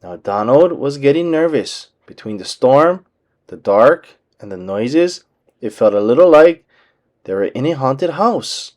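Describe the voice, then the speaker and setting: Lively male storybook narrator. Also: medium pitch, measured pace. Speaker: children's book reader.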